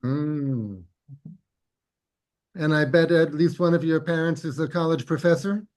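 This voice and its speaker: A man hosting, chatting and asking questions in a halting way, repeating words as he searches for them. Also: a little high, darkish tone. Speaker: host. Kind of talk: casual interview chat.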